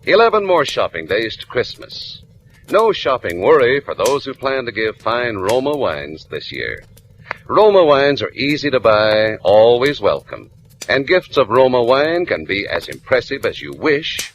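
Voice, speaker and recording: A man's voice: medium pitch, big pitch swings, unhurried. A man announcing for a sponsor in polished, emphatic 1940s radio advertisement style. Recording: vintage radio recording, faint noise.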